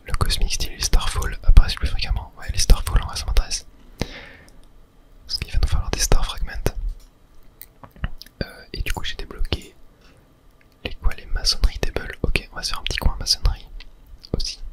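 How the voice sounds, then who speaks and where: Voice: a little fast, pauses often, a bit bright. A video host gives ASMR gaming commentary entirely in a whisper. The delivery is casual and unplanned, murmuring each thought aloud and dotting it with tiny filler words.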